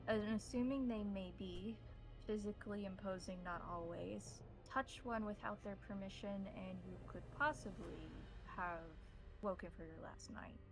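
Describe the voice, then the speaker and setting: A woman speaking as game master in measured, formal phrases, improvising roleplay and narration. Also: mid-range voice, vocal fry, low-quality recording.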